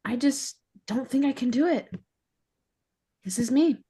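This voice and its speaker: Woman acting high-voiced, spoiled young woman. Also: a little slow, warm tone, husky, heavily acted. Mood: earnest, pleading. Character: Rachel, spoiled, sheltered, pleading young woman. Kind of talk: sitcom script table read.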